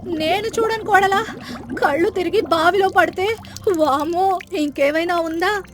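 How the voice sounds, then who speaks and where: A woman voicing a greedy, bossy, fearful middle-aged mother-in-law in a moral story, loud, pleading and scolding. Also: very high-pitched, unhurried, pitched above the performer's own voice. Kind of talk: acted Telugu moral storytelling.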